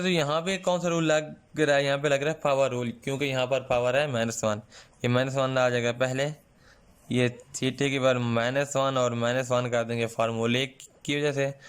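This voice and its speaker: A male math teacher, giving a patient online lecture with a sore throat, clearing it now and then. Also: mid-range voice. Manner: slow, patient, calls students "son" often.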